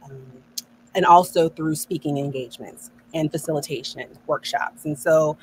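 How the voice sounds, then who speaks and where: A woman's voice: low voice, normal speed, a little hiss or hum, low-quality recording. A middle-aged woman hosting a podcast on a video call, speaking in an affirming way and laughing often. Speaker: podcast host, neuro coach. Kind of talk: casual podcast conversation. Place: home office.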